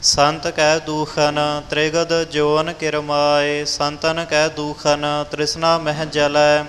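A man chants scripture in a slow, even melodic line, barely moving in pitch. His voice sits a touch high for a man and carries a long hall reverb. Faint music plays underneath. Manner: steady chanted singing, repeats lines when corrected. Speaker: scripture reciter.

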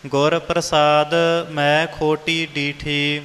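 A man reciting Sikh scripture in a measured, melodic, sing-song chant. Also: on the high side, completely flat delivery, fairly bright tone. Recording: long hall reverb, music behind.

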